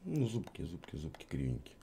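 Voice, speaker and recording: A man's voice: deep voice, huge pitch swings, brisk, warm tone, slight background noise. A man in his forties interviewing a young woman on camera, teasing, condescending and sarcastic. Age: 40s. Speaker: street interviewer. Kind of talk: mocking street interview.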